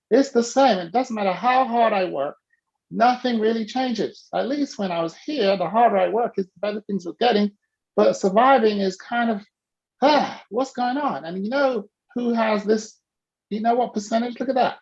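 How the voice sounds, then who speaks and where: Gravelly, animated male business coach. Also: sits high, dull audio. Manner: animated and teasing, coaching a participant by name. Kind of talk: interactive coaching on a video call.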